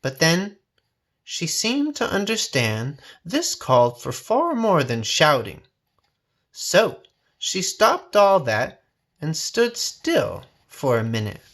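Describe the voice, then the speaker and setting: A man reading a folksy first-person story aloud in a dramatic, expressive, suspenseful way. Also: fairly high pitch, slow. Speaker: storybook reader.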